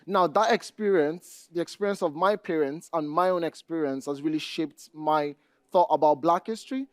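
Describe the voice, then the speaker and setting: A man with a Nigerian accent giving a conference presentation, speaking deliberately and addressing the audience directly. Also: on the high side, no room sound, studio quality. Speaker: conference speaker, database administrator.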